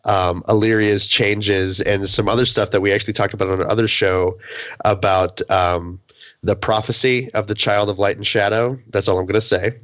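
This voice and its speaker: A man chatting casually and keenly as a podcast panelist, halting and restating his phrases, on a slightly dull microphone. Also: on the low side, steady tone, a bit bright, no room sound. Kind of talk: casual enthusiastic chat.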